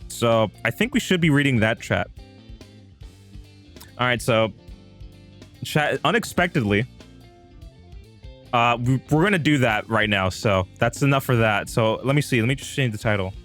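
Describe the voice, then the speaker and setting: A man's voice: mid-range voice, animated, moderate pace, long pauses, fairly bright tone, faint music behind. A man hosting a live stream with casual chat, rambling and repeating himself as he talks straight to his viewers. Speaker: livestream host.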